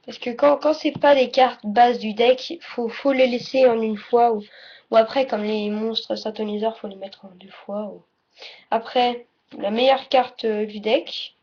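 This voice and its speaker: A woman giving a casual, unscripted tutorial as presenter, halting and often correcting herself or trailing off mid-sentence. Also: fairly high pitch, fairly even intonation. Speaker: presenter of a card game tutorial. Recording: dull lo-fi audio, faint noise.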